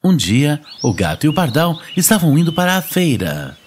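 Very low-voiced storytelling woman. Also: very animated, normal speed, background noise. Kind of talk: scripted children's story narration. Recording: music behind the voice.

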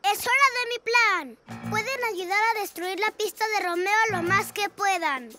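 A performer voicing a plucky six-year-old boy superhero in a Spanish-dubbed cartoon, excitable and emphatic. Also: very high-pitched, a little slow. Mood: determined. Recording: loud music behind the voice.

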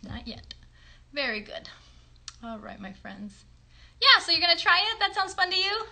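A woman's voice: extremely high pitch, big pitch swings, moderate pace, vocal fry, bright, forceful tone. A woman in her thirties chatting encouragingly with children as a puppet show host, with simple questions and praise. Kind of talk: casual, encouraging chat with children. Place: bedroom at home. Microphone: phone a short distance away.

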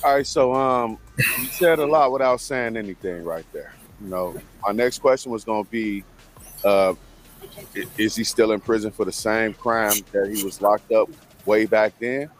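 Male podcast host, lowish voice, measured probing questions, desk mic in a home studio. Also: slight background noise. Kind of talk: interview questions.

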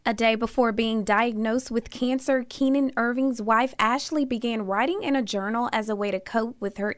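A young woman giving scripted TV news narration in a formal, measured broadcast delivery. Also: fairly high pitch, a bit bright, no room sound. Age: young adult. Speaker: TV news reporter and anchor.

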